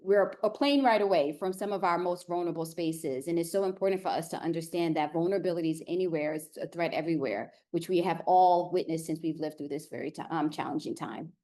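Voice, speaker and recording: Low, mellow-voiced woman panelist. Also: fast, few pauses. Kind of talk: webinar panel discussion.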